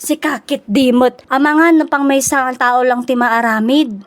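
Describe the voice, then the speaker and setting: Audio drama role for a woman: obliging niece-like helper, high-pitched, plain-spoken, Ilocano-accented. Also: fairly even intonation, normal speed, lightly acted. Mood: casual. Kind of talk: comedy audio drama acting.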